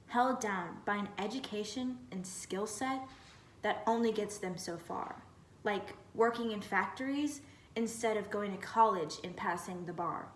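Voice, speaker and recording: A woman reciting a prepared slam poem in a measured, rhythmic delivery, with phrase endings trailing off. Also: mid-range voice. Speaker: spoken word poet. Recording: roomy, distant mic with faint noise.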